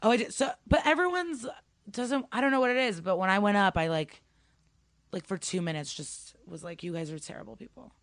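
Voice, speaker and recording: Young woman comic from Ukraine, middle pitch, chatty storyteller, dry close mic. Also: fairly quick, no echo. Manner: chatty, animated storytelling with many "like" fillers. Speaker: stand-up comedian, guest. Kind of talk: casual podcast chat.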